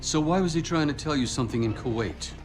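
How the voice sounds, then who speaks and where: A man's voice: on the high side, fast talker, close to an everyday voice. An actor playing a stern male intelligence chief in a game cutscene, sardonic and probing as he questions his agents. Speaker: superior officer. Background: game score music.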